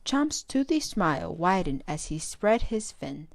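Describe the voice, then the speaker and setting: A woman reading a children's storybook aloud as a storytime host, with expressive, careful phrasing. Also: on the low side, a little slow, no room sound.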